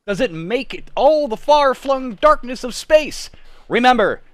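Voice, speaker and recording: Man doing a grandiose, animated radio serial announcer. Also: sits high, no echo, pitched above the performer's own voice, exaggerated voice. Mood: rousing suspense. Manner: grandiose, suspenseful, drawn-out emphasis. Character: booming, dramatic announcer of superhero radio serial.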